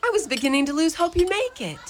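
A woman's voice: very high-pitched, sing-song, quick pace, slight background noise. A woman playing a mother superior of a convent orphanage in a community radio play, wry and motherly with a lilting way of talking.

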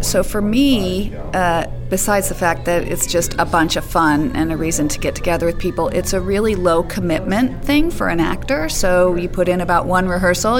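Female theater director, mid-pitched, conversational interview answers, music playing behind her. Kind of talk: casual interview talk.

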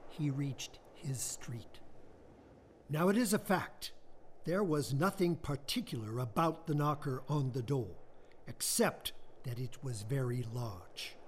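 An actor narrating a Victorian ghost tale for a radio play, in a measured, theatrical storytelling manner. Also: male voice, medium pitch, a little hiss or hum.

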